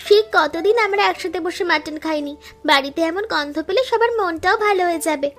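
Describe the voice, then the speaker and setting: A young woman voicing a lively, modern younger daughter-in-law in a cartoon, chirpy and affectionate. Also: very high voice, a little fast. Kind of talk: cartoon voice acting.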